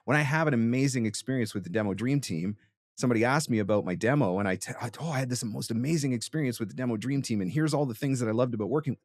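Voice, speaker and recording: A man's voice: mid-range voice, rapid-fire, no echo. A man hosting a podcast, giving conversational advice emphatically, with rhetorical questions and frequent check-ins. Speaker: podcast host and voice-over coach.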